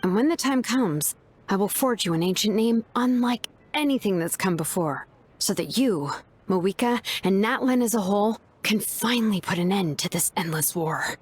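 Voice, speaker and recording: A woman's voice: medium pitch, expressive, normal speed, slightly rough. A woman playing a laid-back, sincere young craftswoman in an anime game, speaking in a casual, frank way with slight hesitation. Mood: earnest.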